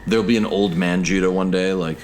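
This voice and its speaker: A man's voice: low voice, fairly even intonation, a little fast, a crowd in the background. A man in his thirties co-hosting a podcast, chatting casually with loose, hesitant phrasing. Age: 30s. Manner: loose, hesitant, restarts often. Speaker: podcast co-host. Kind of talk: casual chat. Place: military service member.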